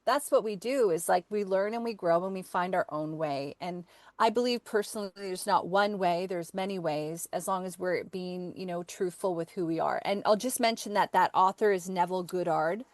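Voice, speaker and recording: A woman's voice: fairly low pitch, normal speed, dry recording. An enthusiastic woman hosting a spiritual podcast, affirming her guest and asking long, rambling questions. Her voice is recorded dry, with no room sound. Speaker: podcast host. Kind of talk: conversational interview.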